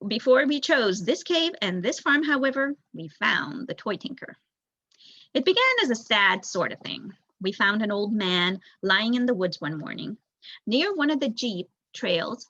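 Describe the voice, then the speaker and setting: A woman's voice: medium pitch, sharp tone, dry recording, muffled recording. A young woman reads a storybook aloud as narration, expressively, with an occasional stumble. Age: young adult. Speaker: volunteer audiobook reader.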